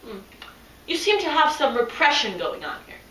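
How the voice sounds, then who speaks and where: A woman's voice: high-pitched, sing-song, moderate pace, bright, forceful tone, heavily acted. A woman playing a pompous, self-absorbed male psychoanalyst in an amateur comedy skit, clinical and easily flustered. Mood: analytical. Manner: clinical, self-important, flustered stammering when challenged. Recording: roomy echo, distant microphone.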